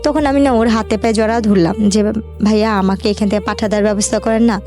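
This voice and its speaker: A woman's voice: medium pitch, steady tone, fast, warm tone, faint music behind. A young woman with a Bangladeshi accent tells her life story in an interview, colloquially, in run-on sentences with quoted conversations.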